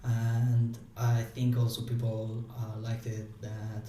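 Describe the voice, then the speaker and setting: A man with a mellow, flat voice and a non-native way of speaking, pausing and restarting often as he answers interview questions. He is heard from some distance in a very echoey room, with a faint hiss underneath. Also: medium pitch. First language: not English. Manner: halting, many restarts and fillers. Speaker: guest.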